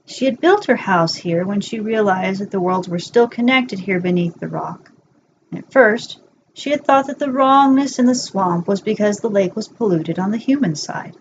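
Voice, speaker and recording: A woman reads a bedtime story aloud as an amateur narrator, at a medium pitch. The recording is somewhat dull and lo-fi, with faint noise behind her voice. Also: expressive, normal speed. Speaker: amateur narrator reading fan fiction aloud.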